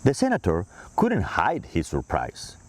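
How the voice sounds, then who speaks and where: A man narrating part of a children's book read-aloud in a measured, expressive way. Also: low voice, dry recording. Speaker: co-reader.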